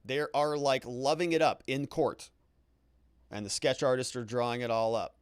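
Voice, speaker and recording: A male livestream host talks through his legal commentary in a mid-pitched voice. He thinks aloud, hedging as he goes and repeating key words for emphasis. The sound is close and dry, with no room echo. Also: steady tone, normal speed, clean tone.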